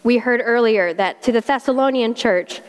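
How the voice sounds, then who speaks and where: A woman's voice: on the high side, normal speed, clean tone. A woman with a South Carolina accent preaching a sermon in a folksy, conversational way, using homey Southern expressions. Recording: long hall reverb.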